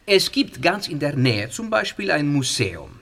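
An actor playing a friendly, slightly nervous young history teacher from northern Italy, speaking. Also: male voice, on the high side, very animated, measured pace, exaggerated voice. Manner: polite, warm, explaining. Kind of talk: audio drama acting.

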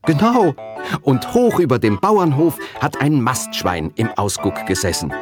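A man's voice: mid-range voice, huge pitch swings, a little slow, over music, pitched above the performer's own voice. A man voicing an earnest, cheeky schoolgirl who tells tall tales insistently in a children's storybook reading. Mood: excited telling.

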